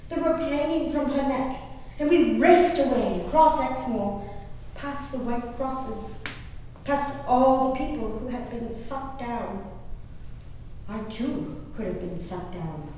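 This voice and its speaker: A woman playing a genteel, dramatic English gentlewoman diarist in a declamatory stage reading, stretching out her emphatic phrases. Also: high-pitched. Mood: breathless recollection. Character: genteel, dramatic English gentlewoman diarist, easily appalled, enraptured by wild nature. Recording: echoey hall from far off, faint noise.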